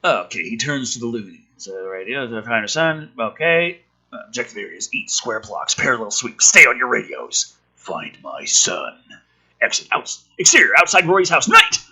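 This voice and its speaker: A man reading a film script aloud and adding casual, sardonic, profane commentary in an animated way. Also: mid-range voice, a little fast, slightly rough.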